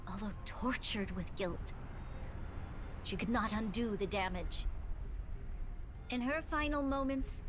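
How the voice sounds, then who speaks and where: A woman playing a solemn, dignified young noblewoman leader in a game, speaking in a measured, formal, earnest way. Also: fairly high pitch, close to an everyday voice. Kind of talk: scripted game voice acting, formal oratory.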